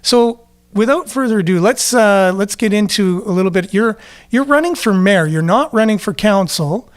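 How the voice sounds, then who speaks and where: A man hosting a casual interview, with halting, rambling phrasing. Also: high-pitched, animated. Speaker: interview show host.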